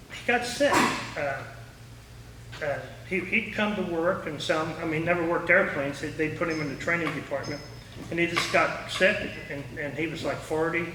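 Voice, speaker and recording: A middle-aged or older American man with a Midwestern accent, reminiscing informally to a local audience in a rambling, halting way. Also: a little high. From small-town Indiana, United States. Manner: rambling, halting, jumps between thoughts. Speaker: retired air traffic controller giving a talk. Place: echoey meeting room at a historical society. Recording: roomy, distant mic with faint noise.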